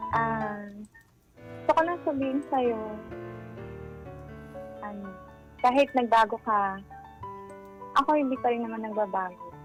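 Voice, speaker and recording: A woman's voice: fairly high pitch, a little slow, pauses often, over music, rough recording. A woman with a Filipino accent speaking plainly and sincerely as a caller on a radio show. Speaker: caller, a housewife. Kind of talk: casual personal talk on a radio call-in.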